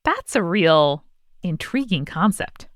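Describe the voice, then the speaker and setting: A woman's voice: fairly low pitch, very animated, slow pace, dry recording, clean studio sound. A woman hosting a podcast, chatting casually and reading listener stories aloud. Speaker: podcast host.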